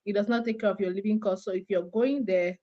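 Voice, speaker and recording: A young woman from Cameroon, a graduate student, explaining things clearly and steadily as an interview guest over a call. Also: mid-range voice, steady tone, fast, lo-fi sound.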